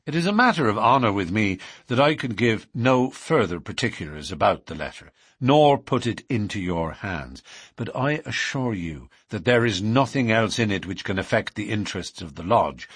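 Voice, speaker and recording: A man voicing an earnest, urgent young outlaw in a storybook, intense and deliberate, with formal Irish turns of phrase. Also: on the low side, lively intonation, fairly bright tone, slightly rough, no room sound, clean studio sound. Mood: solemn warning.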